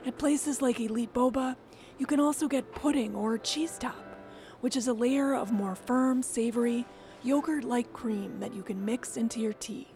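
Woman reporter narrating a radio feature, higher voice. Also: dark tone, soft background music. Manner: measured, descriptive storytelling read.

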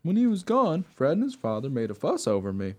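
Male actor as plain mongrel dog, wry radio play narrator, mellow tone, very lively intonation, conversational. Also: fairly high pitch, brisk. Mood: matter-of-fact.

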